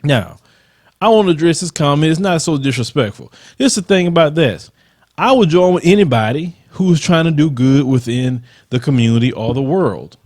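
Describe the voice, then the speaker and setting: A man's voice: fairly high pitch, animated, normal speed, darkish tone, no room sound, clean studio sound. A man with a Southern US accent and a slight lisp, hosting a channel where he reads troll comments aloud and rebuts them in a combative, emphatic way. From Southern United States. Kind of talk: casual commentary.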